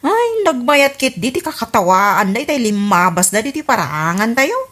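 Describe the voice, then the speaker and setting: A woman acts out a suspicious neighbor wife in an Ilocano radio comedy. Her resentful Filipino voice runs somewhat high and swings about a lot. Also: big pitch swings, moderate pace, fairly bright tone.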